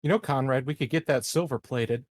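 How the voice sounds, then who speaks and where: A man's voice: mid-range voice, a little fast, no echo. A man chatting casually as a player in a tabletop roleplaying game.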